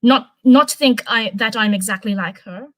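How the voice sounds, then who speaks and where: Woman reading as guilt-ridden, halting teen princess. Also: fairly high pitch, no echo, clean studio sound, close to an everyday voice. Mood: anguished guilt. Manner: emotional, halting. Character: guilt-ridden teenage princess, bitter and self-blaming. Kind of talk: storybook character voice.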